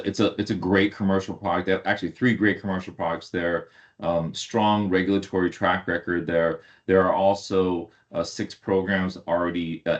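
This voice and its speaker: A man with a notably deep voice speaks as an executive on an online earnings call. He is halting and often repeats words as he explains things. The audio is dull and somewhat lo-fi. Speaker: company executive. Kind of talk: earnings call presentation and answers. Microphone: online conference call.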